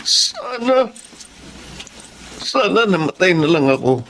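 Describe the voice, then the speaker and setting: High-pitched, pleading, remorseful husband. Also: male voice, very animated, slow pace, fairly bright tone, slight background noise, heavily acted. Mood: despairing. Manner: pleading, anguished delivery. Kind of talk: Filipino radio drama acting. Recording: dramatic music behind the voice.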